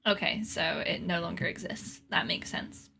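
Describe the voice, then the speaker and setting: A woman's voice: lowish voice, fairly even intonation, moderate pace, creaky, sharp tone. A woman chatting casually on a comedy podcast, with an informal, wry way of talking. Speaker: podcast panelist.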